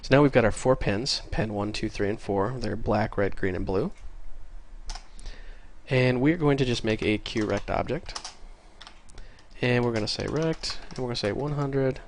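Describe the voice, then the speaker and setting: Stuffy-nosed guy with a cold doing a chill coding tutorial, gravelly voice, faint typing in the back. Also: mid-range voice, moderate pace, pauses often, a little hiss or hum. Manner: casual, easily excited, chatty. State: congested from a cold. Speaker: programming tutorial host. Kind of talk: screen-recorded coding tutorial. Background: faint keyboard typing.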